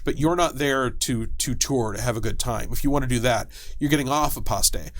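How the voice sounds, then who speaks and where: A man speaking as an expert guest, explaining fictional game lore casually, in detail and with a wry manner. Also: mid-range voice. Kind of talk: casual explanatory interview talk.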